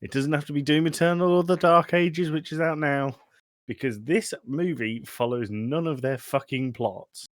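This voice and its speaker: A man's voice: a little high, moderate pace, no room sound, studio quality. A man hosting a casual film podcast, joking and teasing with frequent swearing. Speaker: podcast host. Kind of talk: casual comedic chat about films.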